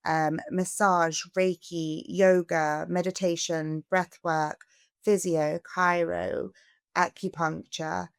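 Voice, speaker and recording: Low-voiced earnest woman from England. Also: slow, clean tone, no echo, studio quality. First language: English. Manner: earnest, animated when appealing for help. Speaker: guest, charity founder. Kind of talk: podcast interview.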